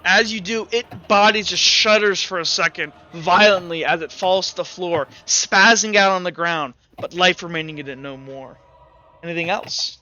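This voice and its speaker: A man's voice: high-pitched, fairly even intonation, normal speed, sharp tone. A young man acting as game master, narrating a tabletop game in loose, rambling sentences full of fillers. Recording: slightly muffled, dull audio. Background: faint background music.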